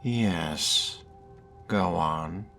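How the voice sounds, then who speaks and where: Man role-playing a very slow, gravelly, sardonic vampire. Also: low voice, full character voice. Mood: guarded, polite. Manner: sardonic, understated, formal. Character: dry, world-weary, refined vampire fledgling. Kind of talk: video game role-play narration. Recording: loud music behind the voice.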